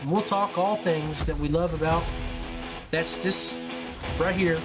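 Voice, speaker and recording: A man with an American accent and a fairly high voice hosts in a casual, conversational way. There is some music in the recording behind him. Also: a little slow. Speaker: podcast host. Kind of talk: casual chat.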